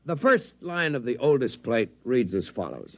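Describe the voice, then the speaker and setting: An actor playing a devoted visionary scientist in 1950s radio drama style, earnest and declamatory. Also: male voice, mid-range voice, sing-song, normal speed, gravelly. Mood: earnest, intent. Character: devoted visionary male scientist of a tiny underwater race.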